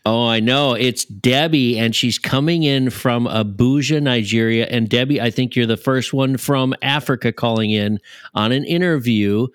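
A man's voice: mid-range voice, normal speed, smooth, dry recording, studio quality. A man hosting a casual podcast, making upbeat introductions of the guest and the co-hosts. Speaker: podcast host.

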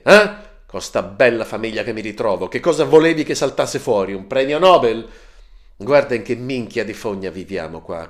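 Loud arrogant young bully, pitched high and shouting, read by a male narrator. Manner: shouting, vulgar, aggressive. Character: arrogant hot-headed young man, yelling insults. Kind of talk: audiobook character reading.

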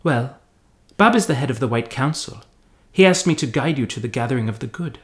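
Man putting on an earnest, high-pitched bookish witch girl. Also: expressive, brisk, a bit bright, lightly acted. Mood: instructive. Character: earnest, bookish young witch, eager know-it-all girl.

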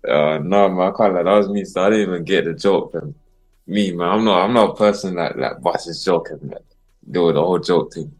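A man from South London with a South London accent, a guest musician talking casually in a mellow, slang-filled way. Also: sits low, brisk, faint music behind. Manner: mellow, mumbly, full of street slang. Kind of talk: casual interview chat.

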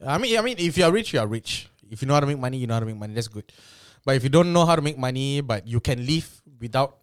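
A man's voice: mid-range voice, animated, quick pace, clean tone, dry recording. A middle-aged man from Singapore with a Singaporean English accent, co-hosting a casual chat and rambling with lots of restarts. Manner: rambling with many restarts, mock-exasperated. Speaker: podcast co-host.

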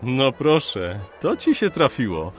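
Teasing surveyor pal, animated. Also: male voice, medium pitch, a little slow, soft background music. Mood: wry, appreciative. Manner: wry, bantering. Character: teasing, worldly male surveyor, companion of the hero. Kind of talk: radio play acting.

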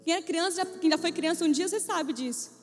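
A woman's voice: very high voice, fast talker, big-room sound, faint music behind. A woman preacher telling a humorous personal testimony to a church audience, acting out the voices she quotes. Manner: playful storytelling, acts out quoted voices.

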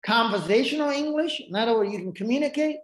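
A man's voice: very high voice, lively intonation, quick pace. Interview guest: man, Japanese accent, native Japanese speaker, rambling storyteller, recorded with an echo. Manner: rambling, frequent restarts and asides. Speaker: podcast guest. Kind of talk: casual storytelling.

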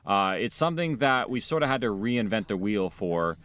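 A man's voice: fairly low pitch, sing-song, normal speed, a bit bright, clear, resonant tone, no room sound. A man speaking as an audio drama director in an interview, earnestly explaining his work in a conversational way.

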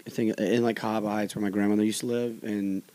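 A man's voice: fairly low pitch, flat delivery, quick pace, mellow, no echo. A young man, telling rambling, profane anecdotes in casual podcast chat. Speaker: podcast co-host.

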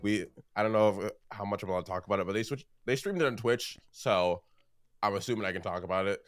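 Brief: young male co-host, lowish voice, a bit hoarse, relaxed and rambling, dry close mic. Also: quick pace, no echo, soft background music. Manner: relaxed, rambling, laughs often. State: starting to lose his voice. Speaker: podcast co-host. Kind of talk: casual chat.

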